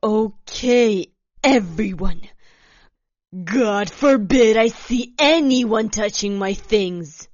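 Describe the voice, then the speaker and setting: A woman storyteller voicing a furious, irritable, demanding woman who snaps and wails loudly. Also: medium pitch, animated, extremely slow, pauses often, dry recording, muffled recording. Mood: irritable, angry. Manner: loud, snapping, wailing outbursts. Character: furious, shrill, foul-mouthed woman hiker, irritable and demanding.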